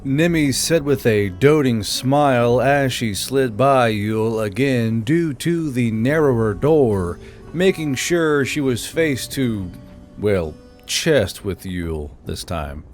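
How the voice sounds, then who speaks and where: A man's voice: medium pitch, lively intonation, slow pace. A man reading scripted fiction narration as the narrator of an audiobook. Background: soft background music.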